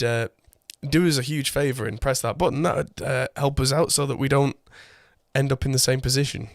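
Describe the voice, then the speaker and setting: A man in his thirties hosting a casual podcast, chatty and self-deprecating, his voice a little hoarse from a cold. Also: medium pitch, no echo. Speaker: podcast host. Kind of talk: casual chat. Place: home studio. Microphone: condenser mic through an audio interface. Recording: dry close-mic sound.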